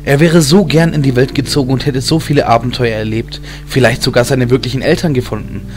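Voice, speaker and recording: A man's voice: mid-range voice, fairly even intonation, a little fast. A man reading scripted fantasy narration as an audiobook narrator. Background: background film music.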